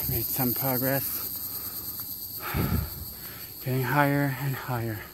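A travel vlogger talks casually and slowly in short remarks, at a middling pitch. The voice is caught on a handheld recorder outdoors, with clear ambient noise and insects around it. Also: level tone. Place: outdoors on a mountain temple stairway and summit. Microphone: handheld phone or camera held by speaker.

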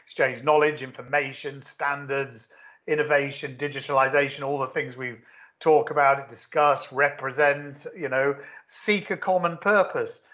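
A man's voice: on the high side, a bit bright, lo-fi sound. A man makes an emphatic point in an online panel discussion, listing items and repeating words as he builds up. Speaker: panel guest.

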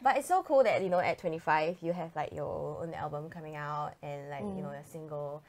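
A woman, hosting a casual bedroom interview in a relaxed, agreeable way. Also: low voice, a little fast, slight background noise. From Singapore. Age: 20s. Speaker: host. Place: bedroom, indoors.

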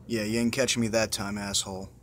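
A man's voice: fairly low pitch, level tone, quick pace, fairly bright tone. Guy muttering and swearing through a horror game, fed up, noisy phone recording. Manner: muttering, exasperated, heavy swearing. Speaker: gamer playing a horror game. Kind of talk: casual let's-play commentary.

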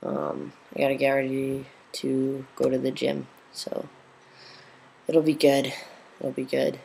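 Slow, gravelly young man on webcam. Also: mid-range voice, monotone, darkish tone, poor audio. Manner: halting, with frequent pauses and fillers. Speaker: vlogger. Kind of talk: casual webcam update. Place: small indoor room at home. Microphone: built-in webcam mic at close range.

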